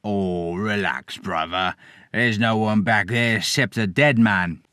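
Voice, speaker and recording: A man's voice: lowish voice, slow, sharp tone, smooth, dry recording, studio quality. A man voicing a male ambulance driver in an old radio serial, talking in short, colloquial replies. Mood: dismissive. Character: dismissive, gruff-talking male ambulance driver, easily cowed.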